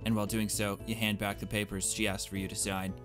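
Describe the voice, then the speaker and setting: A man's voice: fairly low pitch, flat delivery, fast talker, fairly bright tone, faint music behind. A man hosting a gaming playthrough, reading dialogue aloud casually with joking, exclamatory asides. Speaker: gaming let's play host.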